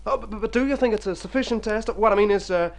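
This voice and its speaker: A man's voice: high voice, fast talker, gravelly. A man playing a rich, personable young hypochondriac in a radio drama, exclaiming and stammering theatrically. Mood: anxious, flippant. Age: young adult. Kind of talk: mid-century radio drama acting. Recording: old radio recording with music.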